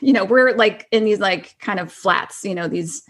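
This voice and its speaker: A woman's voice: mid-range voice, normal speed, a bit bright. Podcast guest, female, forties, mid-range voice. Informal, rambling, giggly conversational reminiscing. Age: 40s. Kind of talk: casual chat, reminiscing.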